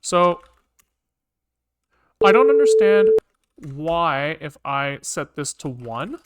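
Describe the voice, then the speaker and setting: A man casually live-coding on a stream, thinking aloud in halting, muttered bits as he works. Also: a little high, big pitch swings, a little hiss or hum. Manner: halting, muttering to himself while working. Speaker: programming livestreamer.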